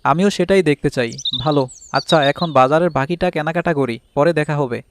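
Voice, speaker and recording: Chirping birds and clear background noise surround a village husband acted by a man in a cartoon. His voice sits fairly high for a man. He speaks as an encouraging fish farmer who keeps the household at peace. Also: quick pace, natural voice. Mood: practical, upbeat. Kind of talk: Bangla cartoon voice acting.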